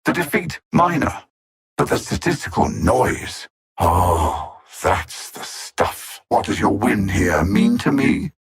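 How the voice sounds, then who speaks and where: A man playing a cold, superior alien machine conqueror in a video game, articulate and haughty, savoring his menacing taunts in a gravelly, husky voice. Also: lowish voice, very animated, takes it slowly, exaggerated voice. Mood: sneering taunts. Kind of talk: theatrical video game villain acting.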